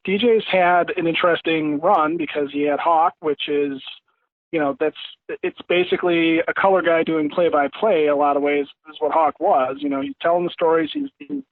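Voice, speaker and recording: Male sports guest, phone-quality and dry, higher-pitched, talks through analysis in a loose, rambling way. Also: moderate pace, no room sound. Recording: thin, dry phone-like line.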